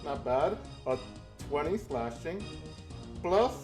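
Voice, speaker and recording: A man's voice: fairly high pitch, expressive, takes it slowly, a little mellow, faint music behind. A man playing a tabletop game, chatting casually and thinking aloud in a playful, rambling way.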